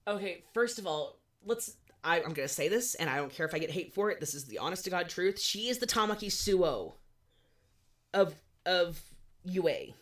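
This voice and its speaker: A woman in her twenties co-hosting a podcast, chatting casually with animated, swearing asides. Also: lowish voice, normal speed. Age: 20s. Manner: animated, swearing, self-deprecating. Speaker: podcast co-host. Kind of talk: casual chat.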